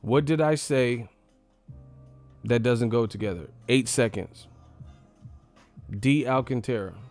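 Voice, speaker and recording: A man's voice: mid-range voice, slow pace, a little mellow, faint music behind. A man hosting a casual livestream fight breakdown, blunt and opinionated, with slangy talk and plenty of swearing. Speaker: livestream host, boxing commentator.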